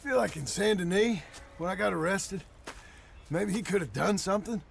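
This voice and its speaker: Younger Western game outlaw: gravelly, higher-pitched, hesitant and troubled, American drawl, ambient noise behind. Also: male voice, normal speed. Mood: troubled, doubtful. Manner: hesitant, brooding. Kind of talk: dramatic video game acting.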